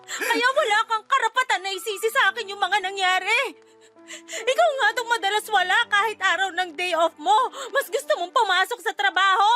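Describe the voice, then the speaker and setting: A woman's voice: brisk, bright, forceful tone, soft background music. A woman acting a grieving, defensive wife and mother in a Filipino radio drama, tearful and wounded. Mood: indignant, hurt. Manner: tearful, shrill, emotional.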